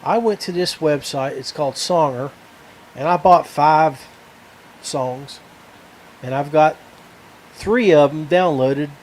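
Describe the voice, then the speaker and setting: An American man hosting a podcast in a casual, rambling way, halting as he searches for words. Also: on the high side, darkish tone, slight background noise, low-quality recording. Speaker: podcast host.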